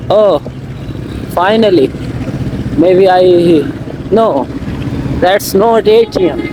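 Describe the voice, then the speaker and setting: Slow-talking Indian man, vlogging. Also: sits high, background noise. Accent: Indian English. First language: Hindi. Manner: simple plain English, many pauses and fillers. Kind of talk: casual vlog narration. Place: outdoors in a busy industrial area and market.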